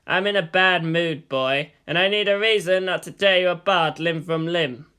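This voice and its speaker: A weary older government agent played by a man reading a story. He speaks deliberately and conversationally, with a sharp, forceful edge. His menace stays restrained. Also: high voice, smooth.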